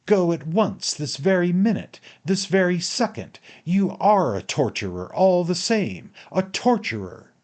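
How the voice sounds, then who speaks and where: A man voicing a proud, hysterical man who shouts emphatically and insistently at his servant in a dramatic audiobook. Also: on the low side, animated, a little slow, hardly any pauses. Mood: frantic, commanding. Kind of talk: dramatic audiobook character acting.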